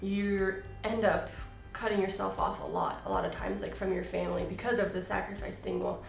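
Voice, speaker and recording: A woman's voice: mid-range voice, very animated, a little fast, gravelly, reverberant, faint music behind. A woman missionary asking and answering questions in a casual, thoughtful way. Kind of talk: casual Q and A chat.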